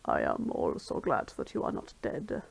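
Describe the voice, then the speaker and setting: A woman's voice: moderate pace, close to an everyday voice. A dour, proud male bird warrior performed by a woman. The delivery is grave, clipped and theatrical, as in a dramatic fan fiction reading.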